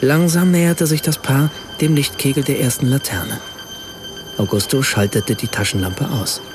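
Reflective male narrator, lively intonation. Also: fairly high pitch, normal speed, background noise. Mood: observant. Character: reflective male first-person narrator, watchful and observant. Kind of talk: literary first-person radio play narration.

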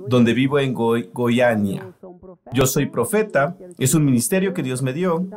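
A man preaching a sermon in an earnest, emphatic way, voicing it as a translation. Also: mid-range voice.

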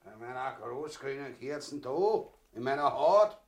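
An actor playing a gluttonous, crude, clownish husband in a farcical radio play, with a Viennese Austrian accent and exaggerated, coarse delivery. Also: male voice, mid-range voice, animated, natural voice. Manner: drawn-out, exaggerated, coarse delivery with big swings.

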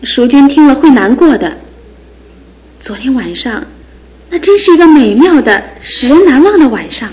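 Playful young sister, radio drama, bright and teasing, fairly high voice. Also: female voice, sing-song, moderate pace, rough recording. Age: young adult. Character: lively young woman, groom's younger sister. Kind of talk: 1970s radio drama acting.

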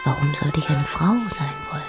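A woman's voice: deep voice, fairly quick, over music. An actress playing a defiant, sardonic young queen in a radio drama, blunt and challenging. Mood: emphatic.

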